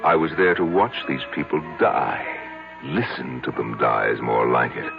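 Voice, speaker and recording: Very deep-voiced haunted man. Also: expressive, normal speed, gravelly, heavily acted. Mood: bitterly bemused. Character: haunted man confessing a dark story to his psychiatrist. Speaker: narrator of the story. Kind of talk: radio drama acting. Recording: muffled old radio broadcast, loud music behind.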